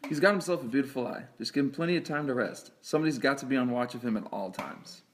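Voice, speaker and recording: A man playing an earnest, grave eye surgeon in an amateur scripted film, with a measured, sober manner. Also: mid-range voice, slight background noise, natural voice. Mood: reassuring.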